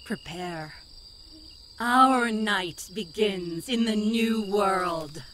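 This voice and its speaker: A woman voices a grave animal prophet rallying its kind in a horror tale. The delivery is slow and incantatory, with a bright, forceful edge and faint noise behind it. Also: mid-range voice. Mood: ominous. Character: solemn animal leader rallying fellow creatures. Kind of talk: dramatic horror monologue.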